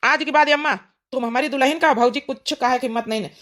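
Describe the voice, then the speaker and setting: A woman's voice: high-pitched, quick pace, fairly bright tone. A woman playing a protective young village son in an Awadhi cartoon comedy, speaking in a firm, admonishing manner.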